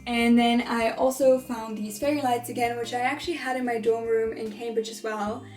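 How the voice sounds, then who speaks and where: A young woman, a native German speaker, chats her way through a tour of her dorm room, stressing words by saying them twice. Her voice sits a little high, with faint music playing under it. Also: fairly even intonation, normal speed, darkish tone. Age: young adult. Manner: chatty, repeats words for emphasis ("very, very"). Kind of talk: casual tour narration.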